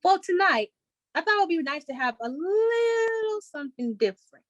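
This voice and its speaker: A woman's voice: very high-pitched, lively intonation, normal speed, dry recording, muffled recording. A woman playing a chipper 1940s radio show host, giving scripted announcements in a showy, presentational way. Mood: showy, upbeat.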